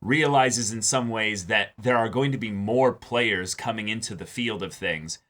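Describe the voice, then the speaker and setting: A man's voice: lowish voice, a little slow, a bit bright. A man co-hosting a reaction show in casual chat, often repeating words and acting out imagined lines. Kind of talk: casual chat discussing a TV episode.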